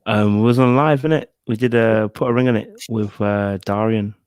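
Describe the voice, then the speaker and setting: A man in his thirties with a South London accent, talking in a relaxed, slangy way as a podcast guest on a video call. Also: on the low side, moderate pace, clean tone, no echo, clean studio sound. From England. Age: 30s. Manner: relaxed, slang-heavy, says bro a lot. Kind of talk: casual interview over video call. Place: home room with shelves behind. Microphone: desk podcast mic on arm, earphones.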